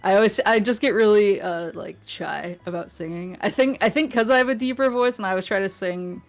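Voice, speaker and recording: A woman chats casually as a show's guest, laughing often and stumbling over her words as she restarts sentences. Her voice sits at a middling pitch, with faint music playing underneath. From New England. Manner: giggly, halting, many restarts. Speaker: guest vtuber. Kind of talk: casual chat.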